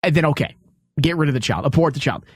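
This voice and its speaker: A man's voice: a little high, animated, fast talker, breathy edge, no room sound, studio quality. A man co-hosting a talk show, debating casually and jokingly in an informal, rambling way.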